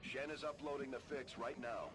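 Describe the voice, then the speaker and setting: A man's voice: mid-range voice, steady tone, moderate pace, fairly bright tone, close to an everyday voice. An actor playing an alert male tactical officer, advising his commander in an urgent, clipped military manner in a video game. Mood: focused, tactical. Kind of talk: video game radio briefing acting. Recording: game audio with clear background noise.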